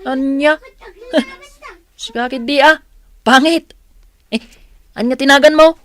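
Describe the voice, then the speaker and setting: Mocking school bully kid, high voice, drawn-out jeers, radio drama. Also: female voice, fairly bright tone. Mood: jeering.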